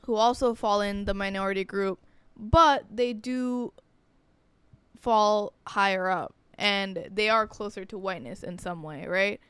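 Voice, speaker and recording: Young female podcast co-host, earnest and conversational, crisp smooth voice, medium pitch. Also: fairly bright tone, dry recording. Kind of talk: casual podcast chat.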